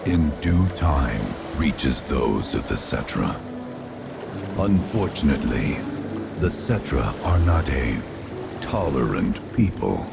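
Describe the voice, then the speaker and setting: An actor playing a solemn, ancient spirit in a video game, speaking in a grave, formal, deliberate way. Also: male voice, very deep voice, very animated, vocal fry, exaggerated voice. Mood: grave, ominous. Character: solemn, ancient male spirit of a lost tribe. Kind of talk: video game cutscene acting. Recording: loud music under the voice.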